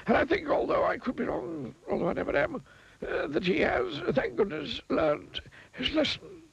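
A high, wordy storybook wizard. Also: male voice, animated, normal speed, gravelly, dull audio, a crowd in the background, heavily acted. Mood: pompous, stern. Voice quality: high, fluttery, long-winded. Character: wordy, pompous old male wizard.

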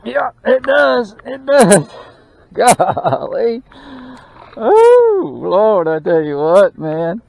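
A man giving excited running commentary while fishing from a small boat on a lake, recorded on a boat-mounted action camera. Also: high voice, very slow, vocal fry, no echo. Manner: excited, drawling exclamations and mutters to the fish. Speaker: fishing channel host. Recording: dull action-camera audio with faint noise.

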